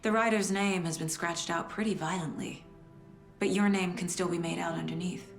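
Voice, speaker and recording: A woman's voice: on the low side, steady tone, normal speed, soft background music, natural voice. An actress playing a composed, serious investigator and mother in a naturalistic video game drama. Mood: composed, probing. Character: composed professional female investigator and caring working mother.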